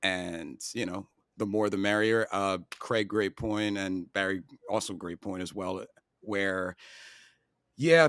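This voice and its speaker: A man co-hosts a casual gaming podcast in a very low voice. He is easygoing and laughs often as he talks loosely. The recording is dry. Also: fairly bright tone, no room sound. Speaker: podcast co-host.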